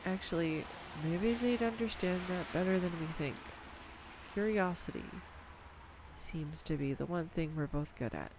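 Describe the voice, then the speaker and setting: A woman voicing a nerdy, affectionate girlfriend who rambles excitedly about animal facts in an intimate audio roleplay. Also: fairly low pitch, measured pace, noisy background, close to an everyday voice. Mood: fond. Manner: enthusiastic, rambling, tangent-prone, giggly. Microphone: studio USB microphone.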